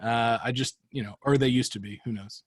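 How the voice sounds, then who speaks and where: A man's voice: medium pitch, fairly even intonation, brisk, slightly rough. An American man hosting a podcast in casual, comedic interview chat, with a joking, playful manner. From Florida, United States. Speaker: podcast host.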